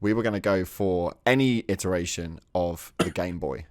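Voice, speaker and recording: Guy chatting on a gaming podcast, low-ish voice, jokey, dry mic. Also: normal speed, no room sound. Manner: banter, laughs a lot. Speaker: podcast co-host. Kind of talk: casual podcast chat.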